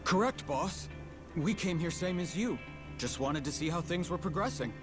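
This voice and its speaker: Eager, lively yakuza underling. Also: male voice, high-pitched, moderate pace, over music. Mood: eager, loyal. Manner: eager, earnest, deferential. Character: cheerful, loyal male yakuza underling, eager to please. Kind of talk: dramatic video game voice acting.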